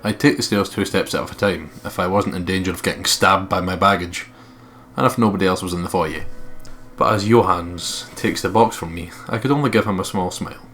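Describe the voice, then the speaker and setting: A man's voice: deep voice, fairly quick, fairly bright tone, faint music behind. A man reading game text aloud and commentating casually on a let's play, blunt and sweary.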